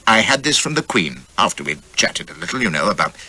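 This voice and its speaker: Man playing a swaggering, forceful English officer. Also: low-pitched, very animated, fairly quick, husky, full character voice. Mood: smug. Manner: breezy, boastful. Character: swaggering, roguish Victorian army officer husband.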